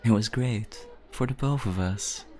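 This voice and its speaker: A caring young adult brother voiced by an actor in an ASMR roleplay, talking slowly and tenderly to his sister. His delivery is soft and a little hesitant, with small breathy chuckles between phrases. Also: mid-range voice, faint music behind, natural voice. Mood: wistful, nostalgic.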